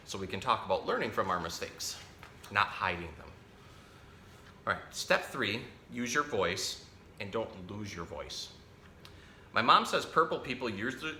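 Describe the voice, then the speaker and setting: A man hosting a children's storytime, reading a picture book aloud in a playful, expressive way and talking directly to young listeners. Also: lowish voice. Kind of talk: animated read-aloud of a children's picture book with chatty asides to a pet parrot. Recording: roomy echo, faint background noise.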